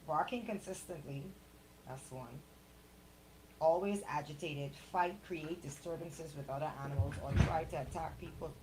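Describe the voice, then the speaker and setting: A woman's voice: low voice, normal speed. A woman with a Bahamian accent co-hosting a radio show, moving between casual, blunt banter and reading local news. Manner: blunt, colloquial. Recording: clearly noisy radio show audio.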